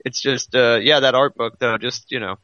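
A man talks casually on a fan podcast in a bright, punchy voice, often circling back over his own words. The sound is very dry, though slightly dull and lo-fi. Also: mid-range voice, steady tone, normal speed, no echo. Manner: rambling, repeats himself, heavy use of "like". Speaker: podcast panelist.

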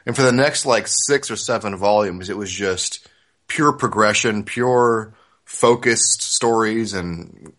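A man's voice: lowish voice, slow pace, fairly bright tone. A man co-hosting a podcast, talking casually and analytically with emphasis as he discusses a series. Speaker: podcast co-host.

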